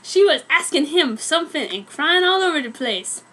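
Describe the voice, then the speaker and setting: High-voiced woman reading fanfic, amused. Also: expressive, moderate pace, bright, forceful tone. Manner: amused, mock-serious. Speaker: reader. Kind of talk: dramatic comic narration of a fanfic.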